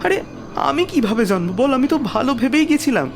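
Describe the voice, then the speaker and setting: An actor playing a frightened young man, stammering and breathless as he recounts being chased in the dark. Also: very high voice, big pitch swings, fairly quick, gravelly, over music, heavily acted. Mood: defensive. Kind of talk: Bengali audio drama acting.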